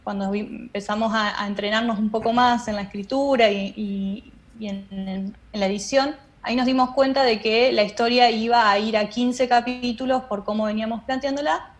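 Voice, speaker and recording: A woman's voice: fairly high pitch, steady tone, normal speed, hardly any pauses. A woman explaining her work in an interview, phrasing it a little hesitantly as she goes. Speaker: interviewed radio drama director.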